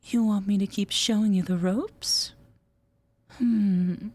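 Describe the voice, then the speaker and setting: A woman's voice: medium pitch, steady tone, slow, a little mellow. A woman performing a scripted roleplay as a teasing, domineering superior, in a sultry, condescending drawl. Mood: teasing, coy. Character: teasing, domineering female superior scolding her agent.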